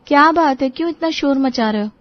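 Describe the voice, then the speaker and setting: A woman's voice: high-pitched, brisk, highs cut off, voice pushed up, lightly acted. A woman voicing a defensive young newlywed wife. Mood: puzzled. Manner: defensive, reasoning.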